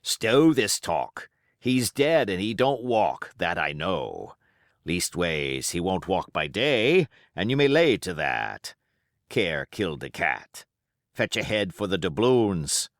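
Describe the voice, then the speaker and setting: A man's voice: sits low, sing-song, takes it slowly, no room sound, clean studio sound, heavily acted. A man voicing a cunning, commanding pirate cook with folksy seafaring dialect and emphatic oaths, in an audiobook. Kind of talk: audiobook character voice.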